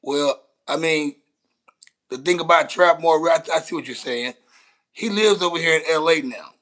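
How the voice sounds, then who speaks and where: A man's voice: on the high side, normal speed, a bit bright. An American man giving casual livestream commentary, with a blunt, slangy, repetitive way of talking. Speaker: content creator reacting to news clips.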